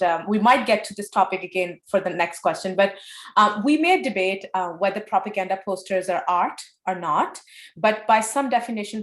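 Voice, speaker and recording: Woman in her 40s, panel moderator, lively, acts out bits of conversation, middle pitch, roomy echo. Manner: lively, acts out dialogue in changing tones. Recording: echoey room sound.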